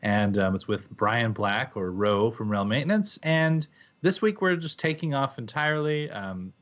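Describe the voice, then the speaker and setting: A man co-hosting a podcast, talking in a relaxed, hesitant way with plenty of ums. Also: medium pitch, moderate pace, lo-fi sound. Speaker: podcast co-host. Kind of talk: casual podcast chat.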